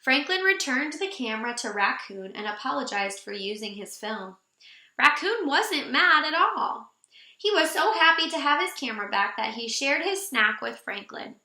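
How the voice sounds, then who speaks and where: Bright, animated woman reading a storybook. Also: sits high, moderate pace, reverberant. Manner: animated, expressive storytelling for young children. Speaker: speech teacher reading aloud to children. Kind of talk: expressive children's storybook read-aloud.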